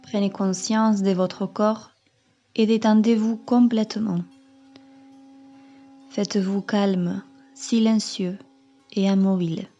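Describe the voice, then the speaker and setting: A woman yoga teacher calmly leading a guided meditation in measured, instructing phrases. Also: medium pitch, dull audio. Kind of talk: slow guided meditation narration.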